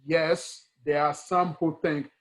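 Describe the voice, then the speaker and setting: A man's voice: a little high, flat delivery, slow. A man from Ghana with a Ghanaian accent, talking earnestly in a conversational interview, stressing points through repetition and rhetorical questions. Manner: emphatic, repeats key words and asks rhetorical questions. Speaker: guest speaker, engineering educator.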